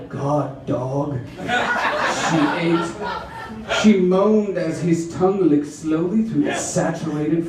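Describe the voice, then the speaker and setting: Theatrical man reading in an echoey room. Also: a little high. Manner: theatrical, drawn-out delivery. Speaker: reader at a live dramatic reading. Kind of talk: mock-dramatic reading of erotic romance fiction. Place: roomy venue with a live audience. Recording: echoey room from far off, audience noise.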